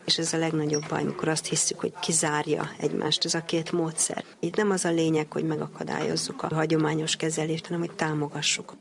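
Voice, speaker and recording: A woman's voice: low voice, little pitch movement, vocal fry, few pauses. A woman doctor speaking earnestly and reflectively as an interview guest. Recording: busy crowd noise behind voice.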